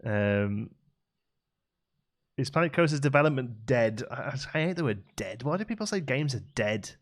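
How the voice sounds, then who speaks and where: A man's voice: mid-range voice, lively intonation, moderate pace. A man with a West Midlands accent from Staffordshire, chatting casually as he streams a game and answers viewers from his home setup. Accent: West Midlands English. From Staffordshire, England. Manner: chatty and easygoing, frequent restarts and fillers. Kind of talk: casual live-stream chat, reading and answering chat. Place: home streaming room with green screen.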